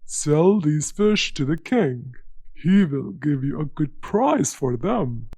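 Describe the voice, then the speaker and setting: A woman voicing an old man who gives a young man slow, measured advice. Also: deep voice, sing-song, warm tone, slightly rough, no room sound, voice pushed down, exaggerated voice. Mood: advising.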